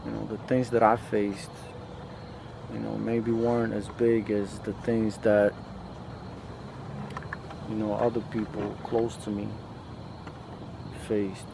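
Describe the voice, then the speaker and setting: A man from a post-Soviet country speaking in a casual, reflective monologue, halting and repeating himself, with lots of slangy filler. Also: on the low side, little pitch movement, vocal fry, noisy background. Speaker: podcast host.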